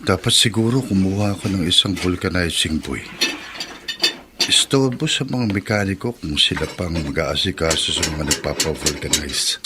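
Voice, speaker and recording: A man's voice: low voice, normal speed, over loud music, lightly acted. An actor playing a hot-tempered, vengeful middle-aged father and mechanic in a radio drama. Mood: tense. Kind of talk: Filipino radio drama acting.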